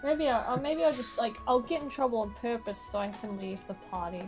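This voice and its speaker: A woman's voice: fairly high pitch, lively intonation, fairly quick. A woman chatting casually as a tabletop game player, tentative and questioning. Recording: clear music behind the voice.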